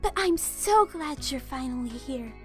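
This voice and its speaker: A gentle young mother, acted by a woman, speaks in a high, affectionate voice with a worried streak. Faint music plays behind her. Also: animated, a little slow. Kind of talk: fan audio drama acting.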